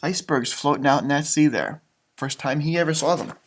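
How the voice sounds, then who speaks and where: A man reading a storybook aloud for children, expressive and dramatic, breaking off for chatty, simple asides and sound effects. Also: on the high side, a little fast, a little hiss or hum. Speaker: elementary school music teacher reading storybooks aloud.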